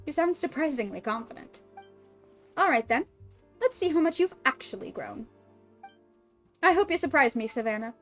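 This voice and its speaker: A woman voicing a composed female head chef with a put-on French accent, measured and teasing. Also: sits high, lively intonation, a bit bright, faint music behind. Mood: cool.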